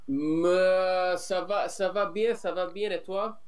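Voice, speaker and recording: A man who is a beginner student in an online lesson, speaking haltingly and repeating words while he searches for them. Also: high voice, steady tone, clean tone. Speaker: beginner French learner. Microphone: video call.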